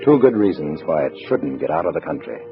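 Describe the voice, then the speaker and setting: Very deep-voiced hard-boiled undercover agent. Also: male voice, sing-song, quick pace, exaggerated voice. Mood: grim narrating. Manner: hard-boiled, clipped, wry. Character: tough, wary male undercover agent posing as communist comrade. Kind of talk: 1950s radio drama acting with first-person narration. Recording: muffled old radio recording, loud music.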